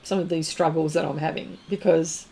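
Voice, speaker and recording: A woman's voice: deep voice, fairly even intonation, normal speed, creaky, mellow, slightly rough, rough recording. Chatty woman art streamer. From Australia. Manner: chatty, rambling, laughs often, reads out viewer comments. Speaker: art livestream host. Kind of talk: casual chat while demonstrating pastel art.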